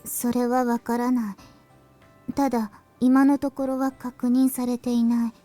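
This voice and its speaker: Actress as analytical visual novel girl: soft mellow tone, fairly high voice, measured and deadpan, music behind. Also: lightly acted. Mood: calm, analytical. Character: calm, analytical, mellow-voiced young woman. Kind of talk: Japanese visual novel voice acting.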